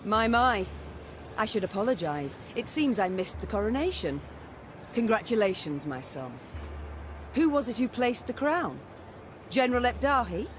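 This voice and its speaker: A woman's voice: fairly high pitch, big pitch swings, moderate pace, long pauses, a little hiss or hum. Proud, defiant middle-aged warrior queen. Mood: icy, sarcastic. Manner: formal, regal, cutting. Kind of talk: dramatic video game voice acting.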